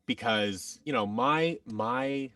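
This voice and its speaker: A man telling his story casually as a podcast guest, reflective and halting, often acting out bits of quoted dialogue. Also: medium pitch, bright, forceful tone, no room sound, clean studio sound, far from the mic. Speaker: podcast guest, actor and audio drama producer.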